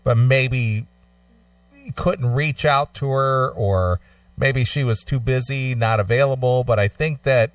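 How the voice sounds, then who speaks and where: A man's voice: medium pitch, measured pace, no room sound, recorded from a distance. A man hosting a radio show, talking in a casual interview style.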